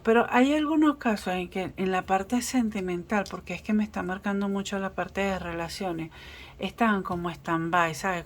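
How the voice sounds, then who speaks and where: A woman's voice: medium pitch, slight background noise. A Venezuelan woman with a Venezuelan Spanish accent, giving a casual, reassuring tarot reading and often restarting her sentences with fillers. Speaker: tarot reader.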